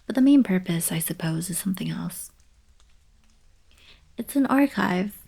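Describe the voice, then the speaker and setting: A woman playing a playful, teasing young alien princess girlfriend in an intimate ASMR roleplay, speaking softly and breathily. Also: on the low side, lively intonation, a little slow, exaggerated voice. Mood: thoughtful explaining. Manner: soft, breathy, casual teasing delivery. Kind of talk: intimate ASMR roleplay, scripted monologue to the listener.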